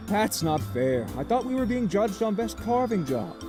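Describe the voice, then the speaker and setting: A man's voice: high-pitched, very animated, normal speed. A man voicing a proud, easygoing young uncle in an anime-style visual novel game. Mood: indignant. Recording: music clearly under the voice.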